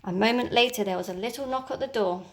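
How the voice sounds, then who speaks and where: A woman teacher reading a children's storybook aloud, with expressive, dramatic storytelling. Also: fairly high pitch, fast.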